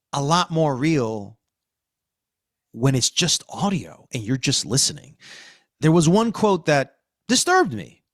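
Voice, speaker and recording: A man hosting a podcast, discussing and reviewing in a conversational, emphatic way with deliberate phrasing. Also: medium pitch, fairly bright tone, no echo, studio quality. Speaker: podcast host.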